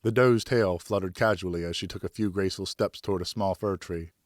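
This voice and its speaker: A male audiobook narrator with a fairly low voice. He reads scripted fiction in a measured, descriptive way with some drama. The recording is dry and close. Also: no echo.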